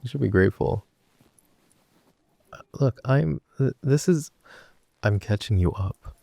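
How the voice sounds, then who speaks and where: A man's voice: mid-range voice, lively intonation, vocal fry, mellow, no room sound. A young man playing a chatty, teasing college best friend in an intimate roleplay, rambling wryly and interrupting himself. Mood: teasing, flustered. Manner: rambling, wry, halting with self-interruptions. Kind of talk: intimate boyfriend roleplay audio, casual storytelling.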